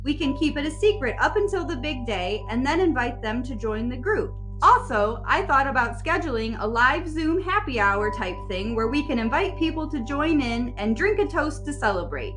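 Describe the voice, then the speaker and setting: High, lively American woman. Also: normal speed, few pauses, music in the background. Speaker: podcast guest. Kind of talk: casual conversational storytelling.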